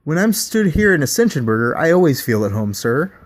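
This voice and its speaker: A man voicing a relentlessly chipper male fast-food cashier who answers everything with sales patter. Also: a little high, sing-song, pitched above the performer's own voice. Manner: scripted, cheery sales patter.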